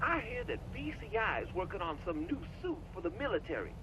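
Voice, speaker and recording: A very high-pitched American man, a streetwise radio sidekick full of slang and wisecracks. His delivery leaps wildly up and down, with clear noise on the recording. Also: very animated, fairly quick. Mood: wry, impressed. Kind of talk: video game cutscene dialogue.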